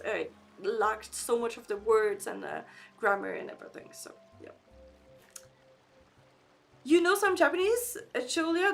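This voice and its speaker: Chatty high-voiced streamer woman, non-native English. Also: creaky. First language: not English. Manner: chatty, reads chat aloud, frequent fillers and restarts. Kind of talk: casual live-stream chat. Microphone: desk microphone.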